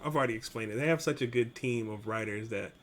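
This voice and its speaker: A man's voice: mid-range voice, fast talker. A man hosting a reaction video, commenting in a casual, slangy way. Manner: slangy, informal.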